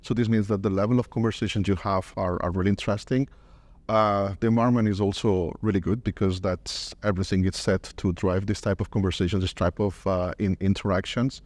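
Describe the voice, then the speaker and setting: A man in his fifties with a low, dryly recorded voice answers questions in an on-site interview. He speaks haltingly, repeating words as he explains. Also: steady tone, a little mellow, no echo. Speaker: interviewed corporate marketing director. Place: medium conference room, indoors.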